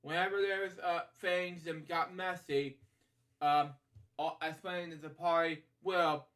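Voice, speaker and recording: High-voiced man reading a bedtime story, rambling. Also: flat delivery, a bit bright. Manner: rambling, halting, frequently digressing with asides. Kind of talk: picture-book read-aloud with casual off-the-cuff commentary.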